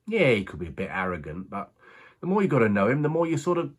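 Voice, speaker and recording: An actor playing a rueful working-class racing man, husky, confiding a strange story in a halting, colloquial monologue. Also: medium pitch, sing-song. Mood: fond, wry. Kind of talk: dramatic monologue, conversational storytelling.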